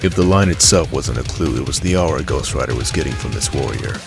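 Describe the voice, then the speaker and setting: A man with a deep, gravelly voice reading scripted story narration for an audio drama. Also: lively intonation, fairly quick. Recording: music behind the voice.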